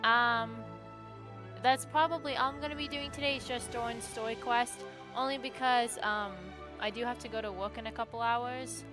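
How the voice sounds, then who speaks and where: A woman's voice: on the high side, steady tone, normal speed, music in the background. A woman giving casual livestream commentary as a game streamer, chatting in an informal, rambling way.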